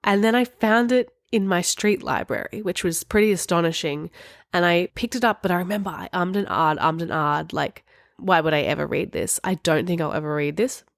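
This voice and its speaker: A woman's voice: lowish voice, brisk, gravelly, dry recording, studio quality. A woman co-hosting a podcast, talking casually and candidly about books with wry emphasis. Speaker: podcast co-host. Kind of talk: casual book discussion chat.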